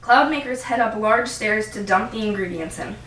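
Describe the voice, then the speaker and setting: A woman's voice: medium pitch, normal speed, fairly bright tone. A young adult woman reading stage directions as the narrator of an amateur script read. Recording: roomy, distant mic, faint noise.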